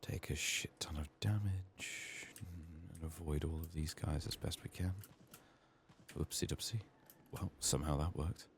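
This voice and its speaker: Very deep, gravelly soft-spoken man. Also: steady tone, a little hiss or hum. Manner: hushed, unhurried musing to himself. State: sick, strained voice. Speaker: video game streamer, ASMR host. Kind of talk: soft-spoken, partly whispered ASMR game commentary.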